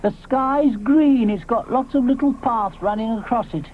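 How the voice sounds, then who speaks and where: A man voicing a grumpy, stubborn male allosaurus with a gruff, stammering manner in a storybook. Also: very high-pitched, moderate pace, voice pushed up. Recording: tinny lo-fi with faint hiss.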